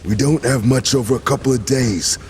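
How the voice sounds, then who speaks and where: A man acting in an audio drama as a steady, knowing guide and loyal companion, deliberate and reassuring. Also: mid-range voice, natural voice. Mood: concerned. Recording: long reverb, faint ambient noise.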